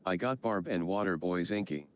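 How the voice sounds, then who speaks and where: Low-voiced man streaming, muffled mic. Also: normal speed. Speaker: game streamer. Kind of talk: casual chat while gaming. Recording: dull mic with faint noise.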